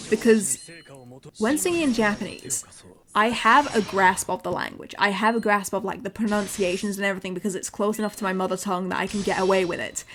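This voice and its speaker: A woman's voice: mid-range voice, a bit bright, slight background noise. Chatty English woman streamer. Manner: chatty, repeats words, many fillers. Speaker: VTuber streamer. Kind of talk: casual livestream chat.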